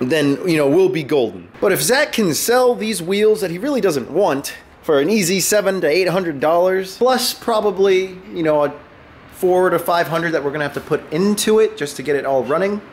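A man in his twenties, sick and sounding a bit deeper than usual, chatting upbeat to a handheld camera in his home garage. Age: 20s. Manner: chatty and upbeat, joking with a friend. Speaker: car vlogger. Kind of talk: casual vlog talk to camera. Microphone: handheld camera close to face.

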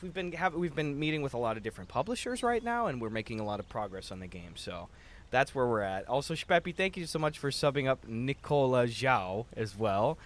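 Young male game streamer, lively swooping delivery, slangy and profane, a bit worn-down, casual chat with viewers. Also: mid-range voice. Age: young adult. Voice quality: tired, slightly worn-down voice. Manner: slangy, jokey, profane, often says "dude" and "bro". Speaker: livestream host. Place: indoor room at a streaming setup.

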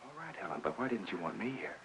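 Brisk, steady doctor. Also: male voice, deep voice, slightly rough, full character voice. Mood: reasoning. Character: steady, reassuring male doctor, firm and protective. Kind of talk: 1950s Hollywood film acting. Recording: very noisy old film soundtrack.

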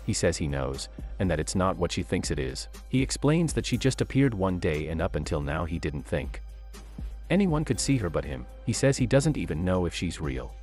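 A man reads a scripted movie recap, telling the plot in a steady storytelling way. His voice sits very low but moves up and down a lot as he goes. Soft music plays faintly underneath. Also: normal speed. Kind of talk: scripted narration.

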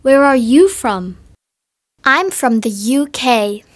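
A woman reading scripted dialogue for an English textbook recording, with clear, deliberate enunciation. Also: sits high, animated. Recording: dry studio audio.

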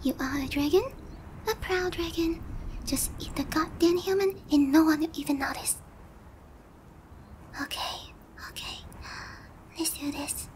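A woman's voice: sits high, measured pace, long pauses, a little mellow, slight background noise. A woman voicing a haughty, flustered, cutesy young dragon girl in an anime ASMR roleplay, condescending yet hesitant. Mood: haughty, urging herself, then disgusted, reluctant. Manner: condescending, hesitant with giggles and murmured asides. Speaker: voice actor.

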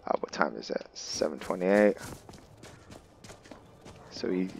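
Deep-voiced man doing casual game commentary. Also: animated, slow pace, vocal fry, slight background noise. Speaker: gaming video host narrating his roleplay playthrough.

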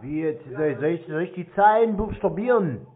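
High-pitched deadpan man on a call. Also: moderate pace, slightly rough, phone-quality sound. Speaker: prank call recipient baiting phone scammers. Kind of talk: deadpan phone prank chat.

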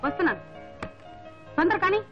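A woman's voice: very high-pitched, animated, brisk. An actress playing an eager, polite middle-aged mother welcoming guests in a film. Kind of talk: film drama acting. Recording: dull film soundtrack, loud music.

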